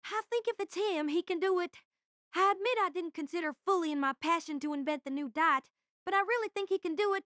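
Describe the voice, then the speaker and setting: A woman playing an earnest, talkative young student inventor in an audio drama, with halting, reflective phrasing. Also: very high voice, fairly bright tone, no room sound, studio quality. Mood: earnest, troubled.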